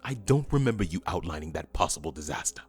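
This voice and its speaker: A man playing an imperious, impatient, scheming official with a commanding, smooth-talking menace in an audio drama. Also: sits low, animated, quick pace, soft background music. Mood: impatient, irritated. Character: imperious, impatient, scheming male government schemer with metal arm.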